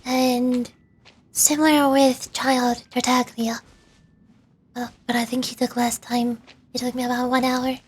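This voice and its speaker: A woman's voice: fairly high pitch, flat delivery, unhurried, slightly rough. A woman livestreamer chatting casually while playing a video game. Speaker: livestreamer, vtuber. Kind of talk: casual gaming livestream chat.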